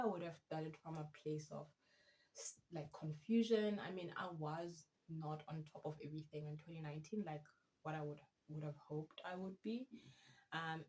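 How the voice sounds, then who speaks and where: A woman's voice: on the low side, darkish tone, low-quality recording. Chatty self-mocking woman in her thirties. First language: Afrikaans. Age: 30s. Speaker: vlogger and student teacher. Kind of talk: casual chat to camera.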